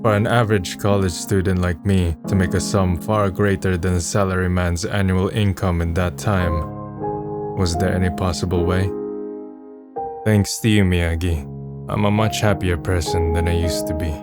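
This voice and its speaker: An actor playing a wry, introspective young college student who narrates and speaks as the lead in a manga drama dub, understated and reflective. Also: male voice, sits low, steady tone, normal speed, lightly acted. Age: young adult. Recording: music under the voice.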